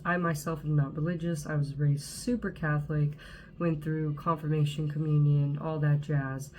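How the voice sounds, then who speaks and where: A woman's voice: deep voice, flat delivery, normal speed, mellow, a little hiss or hum, rough recording. A woman in her twenties, from Southern California, congested with a cold, talking casually to camera with frequent check-ins. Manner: chatty, frequent "okay?" check-ins and fillers. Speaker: channel host.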